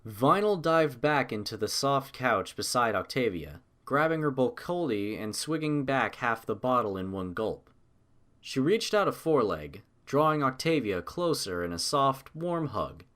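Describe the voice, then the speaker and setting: Male story narrator, mid-range voice, animated delivery of scripted prose. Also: mid-range voice, a little slow.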